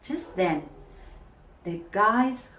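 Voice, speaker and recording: A woman narrates a children's storybook in a roomy, echoing space. Her delivery is slow and deliberate, with animated, expressive pitch swings. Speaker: storybook reader. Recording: echoey room.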